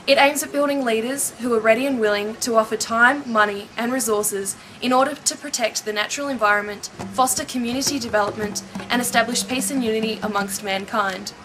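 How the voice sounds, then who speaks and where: A woman's voice: a little high, fairly bright tone, slight background noise, rough recording. A woman reporter reading an upbeat, scripted voice-over for a news piece.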